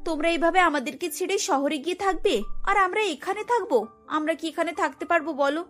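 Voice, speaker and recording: A woman's voice: extremely high pitch, fairly quick, a bit bright, soft background music. A woman voicing a pleading, anxious young daughter-in-law in a cartoon, speaking plaintively. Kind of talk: cartoon voice acting.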